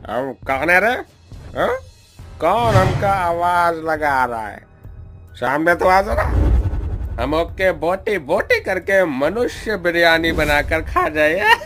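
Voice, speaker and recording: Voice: medium pitch, big pitch swings, slow pace, exaggerated voice. A performer playing a cowardly, boastful village drunkard, in an exaggerated theatrical manner. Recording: loud music under the voice.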